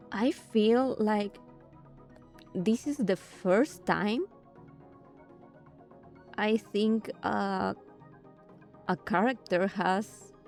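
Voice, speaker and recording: A woman giving casual commentary on a game playthrough, thinking aloud in a halting way. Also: medium pitch, darkish tone. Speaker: gaming streamer. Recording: game music behind the voice.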